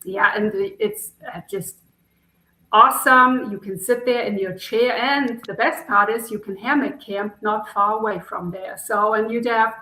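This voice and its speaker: A woman's voice: a little high, steady tone, measured pace, sharp tone, roomy sound, poor audio. A woman with a German accent, a podcast guest chatting casually and enthusiastically in long, rambling stories.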